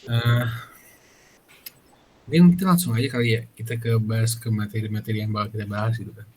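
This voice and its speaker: A man's voice: lowish voice, steady tone, a little fast, husky. A young adult man, hosting a casual student podcast in an informal, slangy way. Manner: informal, slangy, frequent "gitu kan" tags.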